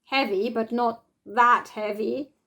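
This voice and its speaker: A woman's voice: fairly high pitch, fairly even intonation, slow pace, fairly bright tone. A woman voicing an eager, playful child in a children's storybook. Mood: excited, playful.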